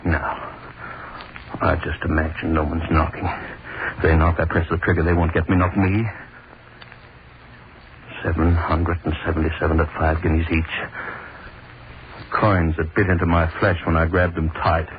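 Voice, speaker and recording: Deep, gloating sadistic old-time hangman. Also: level tone, moderate pace, pauses often. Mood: jumpy, then greedy, obsessive. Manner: brooding, obsessive, repeats words. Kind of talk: 1930s radio horror monologue. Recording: muffled, noisy 1930s radio recording.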